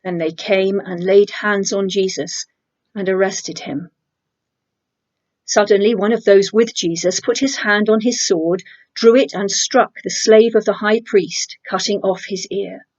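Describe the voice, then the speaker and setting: A woman's voice: mid-range voice, steady tone, measured pace, a little mellow. Older female lay reader, scripture reading, somewhat muffled home recording. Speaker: congregation member reading scripture. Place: room with bookshelves, indoors.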